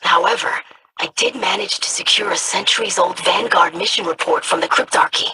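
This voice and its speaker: Game role: bright-voiced young alien researcher, measured and precise. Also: female voice, fairly low pitch, husky, heavily acted. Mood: scholarly. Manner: measured, studious. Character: young alien scholar, formal and curious.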